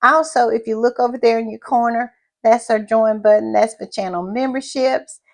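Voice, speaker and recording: A woman hosting her own channel in casual chat, her voice froggy and hoarse, sounding tired and repeating her thank-yous. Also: a little high, fairly even intonation. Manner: drawn-out, repetitive thank-yous and southern-style "y'all" asides. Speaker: channel host.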